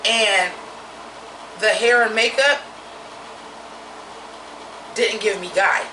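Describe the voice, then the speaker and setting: A woman with a naturally deep voice giving a blunt, profane, opinionated drag review in a casual vlog. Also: fairly even intonation, extremely slow, echoey room, distant mic, noisy background.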